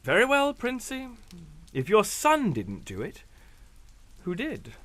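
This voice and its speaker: A man's voice: high-pitched, wildly swooping pitch, takes it slowly, fairly bright tone, no echo, exaggerated voice. An actor playing a sly, unflappable captain in a radio drama, suave and mocking, drawing out his insinuations. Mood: sly, insinuating. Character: sly, unflappable English captain, needling blackmailer.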